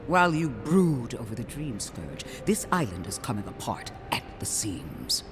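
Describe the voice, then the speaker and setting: Wildly expressive, scornful imperial inquisitor. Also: male voice, medium pitch, unhurried, over music. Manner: imperious, cutting, theatrical. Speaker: voice actor. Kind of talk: dramatic fantasy game dialogue.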